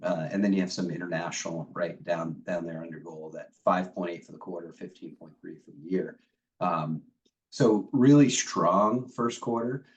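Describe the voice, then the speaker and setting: A man with a cold, his voice deeper than usual, giving a macro update and reading figures off slides in a webinar. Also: moderate pace, distant mic, muffled recording. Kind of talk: webinar presentation.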